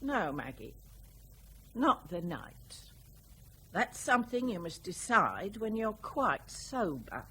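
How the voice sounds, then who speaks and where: An actress in a radio drama playing a disapproving older mother who is sharp and probing but concerned. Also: medium pitch, sing-song, slow, a little hiss or hum, heavily acted. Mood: hesitant, stern. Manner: reproachful, probing.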